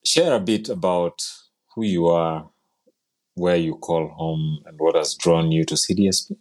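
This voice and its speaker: A man hosting a seminary podcast interview, asking short, halting questions. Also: sits low, a little mellow, low-quality recording. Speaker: podcast host.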